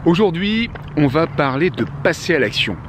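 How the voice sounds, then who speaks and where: A man's voice: on the high side, sing-song, normal speed, background noise. Chatty French man in his fifties. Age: 50s. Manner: chatty, many fillers and restarts. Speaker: vlogger, life coach. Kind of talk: casual motivational talk to camera. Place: outdoors on a park bench.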